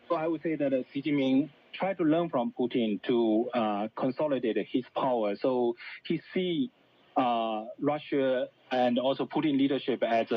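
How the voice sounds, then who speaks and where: A man giving halting, unscripted analysis in an interview, heard over shortwave radio. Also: medium pitch. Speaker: interviewed commentator. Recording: dull shortwave radio, faint noise.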